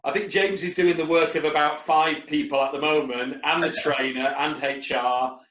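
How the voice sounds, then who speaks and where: A man's voice: a little high, fairly even intonation, moderate pace, a bit bright, echoey room, dull audio. A man, a recruitment company leader, talking on a webinar panel in a rambling, anecdotal way with lots of fillers. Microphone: video call.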